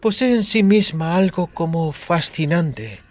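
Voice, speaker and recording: A man voicing a quiet, calm and brooding young woman traveler, speaking deliberately. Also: fairly high pitch, pitched above the performer's own voice. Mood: pensive. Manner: soft-spoken, deliberate.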